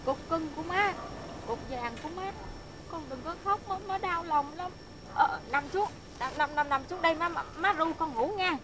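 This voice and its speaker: A woman voicing a frail, tender old grandmother who talks softly and repeats soothing words. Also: very high voice, moderate pace, background noise, voice pushed up.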